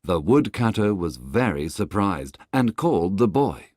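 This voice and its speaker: A man's voice: low voice, sing-song, a little slow, darkish tone, dry recording, studio quality. A man reading a scripted children's tale as its storybook narrator.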